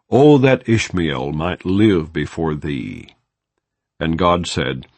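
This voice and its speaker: A man with a very deep voice reads scripture aloud in a measured, solemn way. His pitch rises and falls expressively across each verse, and the sound is close and dry with no room around it. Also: no room sound. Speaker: Bible narrator.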